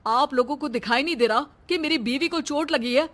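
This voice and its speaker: A woman's voice: sits high, brisk, fairly bright tone. A woman voicing an angry, protective young husband in a cartoon story, indignant and urgent.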